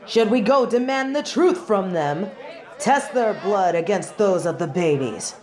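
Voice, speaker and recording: A woman's voice: medium pitch, expressive, measured pace, darkish tone, natural voice. Woman doing a haughty angel judge in an audio drama, stern n formal, very theatrical, crowd murmuring behind her. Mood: scornful. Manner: formal, imperious, theatrical declamation. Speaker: voice actor. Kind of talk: scripted audio drama, courtroom monologue.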